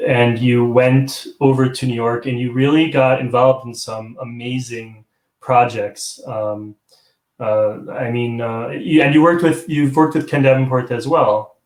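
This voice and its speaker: A man's voice: medium pitch, fairly even intonation, unhurried. A man hosting a live online theater talk show over a video call, chatting casually and rambling with many restarts and chuckles. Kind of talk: casual chat. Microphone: video call stream. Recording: roomy echo.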